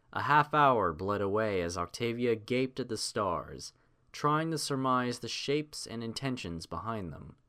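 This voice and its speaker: A man narrating a scripted audiobook in a theatrical, measured storytelling manner. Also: lowish voice, pushed and bright.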